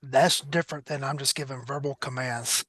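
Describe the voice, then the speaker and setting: A man's voice: mid-range voice, fairly even intonation, moderate pace, pushed and bright. A man hosting a panel discussion as a trainer, explaining his points emphatically in conversational speech. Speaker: panel host, firearms trainer.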